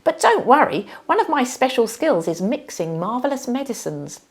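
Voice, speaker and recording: A jolly storybook princess, acted by a woman reading aloud, kindly and eager to help. Her pitch moves in big, lively sweeps. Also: normal speed. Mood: concerned, helpful. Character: kindly, helpful, jolly visiting princess, rather posh. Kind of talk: children's storybook character voice.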